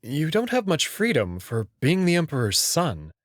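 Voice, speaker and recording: A man's voice: fairly high pitch, huge pitch swings, normal speed, dry recording, studio quality. A man playing an anxious, skeptical young human hero who narrates his own story in a visual novel, in a plain, casual, wry way. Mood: skeptical, questioning. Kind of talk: first-person visual novel narration and dialogue.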